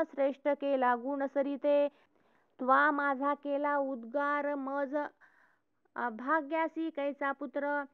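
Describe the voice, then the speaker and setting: A woman recites old devotional verse in a high, level voice that barely rises or falls. Her delivery is slow and measured. The sound is dry and thin, as if heard over a phone line. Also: no echo. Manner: steady measured chanting recitation.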